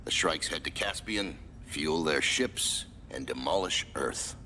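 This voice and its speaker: An actor playing a proud, stern military commander who speaks with solemn, emphatic authority in a video game. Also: male voice, rumbling low, animated, a little slow, bright, forceful tone, slight background noise, heavily acted. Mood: grave. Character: proud, stern male military commander briefing his elite squad. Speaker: commanding officer. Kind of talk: dramatic video game cutscene acting.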